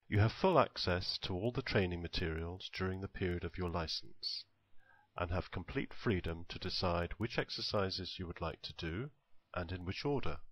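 A man reading scripted tutorial narration in a measured, instructional manner. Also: sits low, no room sound.

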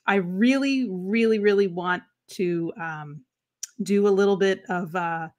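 A woman's voice: medium pitch, measured pace. A woman in her thirties with a Boston accent, hosting a crafting livestream from her craft room and chatting upbeat with viewers by name. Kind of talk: casual live chat with viewers. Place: home craft room, indoors.

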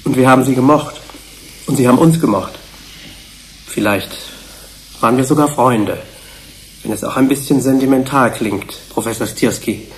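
An actor playing a conscientious, sentimental research team member in a German radio play, earnest and reflective, searching for words. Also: male voice, mid-range voice, moderate pace, long pauses, slight background noise, close to an everyday voice, rough recording. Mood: wistful.

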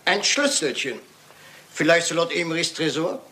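A shrewd detective from Belgium in a radio crime play. His voice sits fairly high, polite and measured as he probes. The old recording is clearly noisy. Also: big pitch swings. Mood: calm, probing. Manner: polite, probing, measured questioning. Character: shrewd Belgian male detective, courteous and probing. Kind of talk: German radio crime drama acting.

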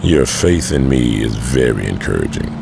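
A weary older crime boss from a video game, with a bottomless, heavily fried voice. He is menacing and sardonic, swinging from low growl to sharp command, with music behind him. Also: lively intonation, normal speed, heavily acted. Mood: dry. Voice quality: gravelly, very deep. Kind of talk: video game cutscene acting. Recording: music and vehicle noise behind.

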